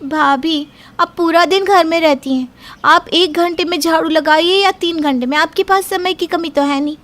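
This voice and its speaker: A woman's voice: very high voice, moderate pace, fairly bright tone. A woman playing a young working daughter-in-law in a cartoon moral story, put-upon, pleading and protesting. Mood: exasperated.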